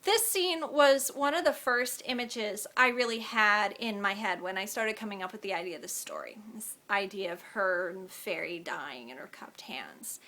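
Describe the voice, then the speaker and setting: Author lady reading her own novel aloud, chatty asides, crisp bright voice. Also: medium pitch, expressive, normal speed, hardly any pauses. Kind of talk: audiobook-style reading.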